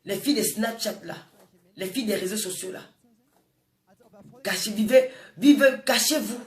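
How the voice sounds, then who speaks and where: A woman's voice: medium pitch, moderate pace. A woman in her forties with a Francophone African accent delivers an informal, impassioned monologue, scolding emphatically and repeating phrases for effect. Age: 40s. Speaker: social media personality addressing viewers. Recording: echoey room, distant microphone.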